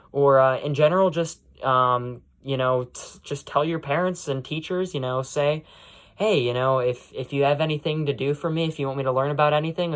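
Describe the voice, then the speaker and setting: A young man hosting a podcast in a casual, unscripted monologue, rambling along and often adding "you know". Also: mid-range voice. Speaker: podcast host.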